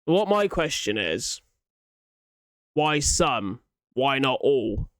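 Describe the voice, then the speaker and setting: A man's voice: mid-range voice, sing-song, extremely slow, a bit bright, no room sound, studio quality. A young man giving casual reaction commentary, thinking aloud in an informal, sweary way. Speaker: reaction video host.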